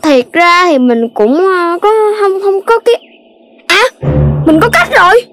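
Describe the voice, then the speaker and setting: A performer voicing an earnest, cautious young animal child in a children's cartoon, expressive and a little scolding, with a Southern Vietnamese accent. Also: extremely high pitch, a little slow, faint music behind, exaggerated voice. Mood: thoughtful.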